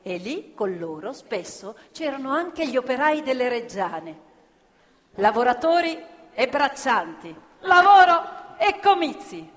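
A woman's voice: big pitch swings, a little slow. A woman performing dramatic narrative theatre with declamatory, emphatic phrasing. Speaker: stage storyteller and actress. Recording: reverberant hall, loud live music behind. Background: live violin, piano and double bass music.

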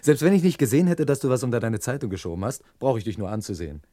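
A man's voice: medium pitch, sing-song, rapid-fire, warm tone. An actor playing an honest, stubborn working man in an old German radio crime play, curt and sceptical. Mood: suspicious. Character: honest, poor, wary working man, jealous husband. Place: radio drama studio.

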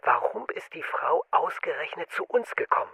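An actor playing a wary, commanding architect and conspirator in a radio drama, insistent and matter-of-fact. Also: male voice, high-pitched, animated, normal speed, creaky, bright, forceful tone, slightly rough, exaggerated voice.

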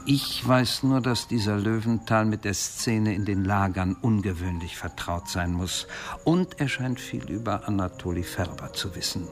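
Ein Schauspieler spielt im Hörspiel einen bedrückten, nachdenklichen Akademiker, der behutsam berichtet. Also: male voice, fairly low pitch, normal speed, soft background music, natural voice. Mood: uneasy, reflective. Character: troubled, reflective male academic, recalling a colleague. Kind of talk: radio drama dialogue.

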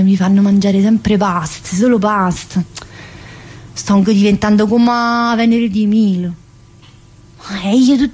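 Woman acting mouthy middle-aged jailbird lady, southern italian accent, highish voice, chatty and teasing, theatre monologue. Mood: vain, coquettish. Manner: chatty, confrontational, with frequent interjections and questions. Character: brash, teasing, restless middle-aged female prison inmate. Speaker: stage actress performing a monologue.

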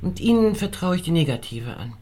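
An actress playing a composed, commanding lady who leads an expedition, speaking formally and with measured authority in a radio drama. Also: on the low side, very animated, warm tone, slightly rough. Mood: instructing.

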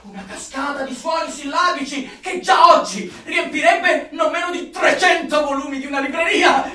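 An actor in a dystopian radio play playing a zealous, exuberant dictionary-maker of the regime, with a declamatory, fanatical delivery. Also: male voice, very high-pitched, normal speed. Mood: ecstatic. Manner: declamatory, fanatical, swinging from hushed to shouting. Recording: very echoey room, far from the mic.

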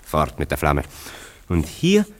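A low male voice with swooping intonation. He takes his time as a curious, teasing confidant in a radio play, prompting his friend with theatrical, gentle irony. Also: huge pitch swings, slow pace, heavily acted. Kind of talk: German radio play acting.

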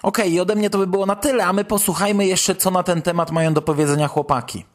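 A man's voice: high-pitched, steady tone, quick pace. A man hosting a podcast and commenting on news. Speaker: podcast host.

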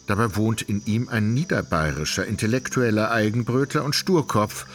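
A man narrating a literary radio drama in an ornate, measured storytelling manner. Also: fairly low pitch.

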